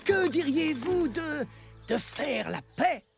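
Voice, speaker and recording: An actor playing a kindly old man in a French cartoon dub, speaking gently and haltingly with a creaky voice. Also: high-pitched, animated, loud music behind the voice, exaggerated voice. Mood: gentle. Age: older.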